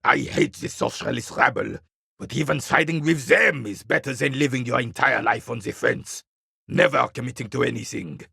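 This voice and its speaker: An actor playing a bitter, proud old war veteran in a video game, speaking with a gravelly rasp and curt, scornful disdain. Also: male voice, medium pitch, moderate pace, pushed and bright, no echo, studio quality, exaggerated voice. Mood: scornful, indignant. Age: older. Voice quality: gravelly, raspy. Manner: curt, scornful, dismissive, old-soldier pomp. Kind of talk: video game character dialogue.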